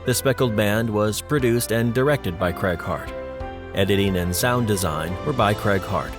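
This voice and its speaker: Male credits announcer, scripted read, lowish pitch, clear music bed. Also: normal speed. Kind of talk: scripted narration.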